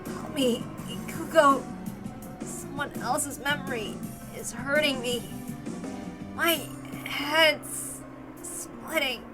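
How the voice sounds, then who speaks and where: Woman acting out an anguished amnesiac, halting and high-pitched. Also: loud music behind the voice. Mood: anguished, confused. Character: troubled amnesiac Toya, anguished by splitting headache and foreign memories.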